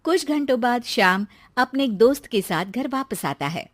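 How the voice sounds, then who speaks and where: A woman's voice: a little high, animated, normal speed, dry recording, clean studio sound. A woman narrating a scripted moral story for a cartoon in a storytelling style.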